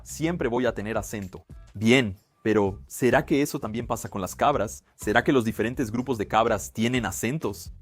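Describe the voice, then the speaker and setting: A man hosting a casual science explainer, chatty and lively with quick exclamations. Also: mid-range voice. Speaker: science video host.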